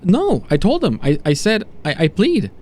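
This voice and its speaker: A man playing an agitated condemned prisoner in a horror audio story, halting and emphatic as he unravels. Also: fairly high pitch, big pitch swings, mellow. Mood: awed, shaken. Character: condemned male prisoner unraveling from irritation into awed despair.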